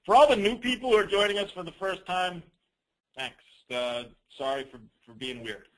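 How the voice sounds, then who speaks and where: A man presenting a business training call as an informal, rambling software demo, with teasing, self-deprecating asides. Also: sits high, moderate pace, low-quality recording.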